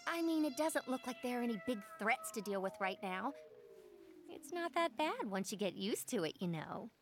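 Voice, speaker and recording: Casting: sensible, reproving anime teen girl, high and animated. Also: normal speed, over music. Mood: sheepish. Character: earnest, scolding teenage girl ninja, sensible. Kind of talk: English anime dub.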